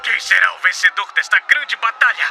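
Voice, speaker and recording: Man voicing a brash, excitable high-pitched anime boy. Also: a little fast, vocal fry. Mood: hyped. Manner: brash, emphatic, impatient, announcer-like shouts. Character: hot-tempered, foul-mouthed teenage anime boy debating fights. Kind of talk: animated fan debate, dubbed cartoon acting. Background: music.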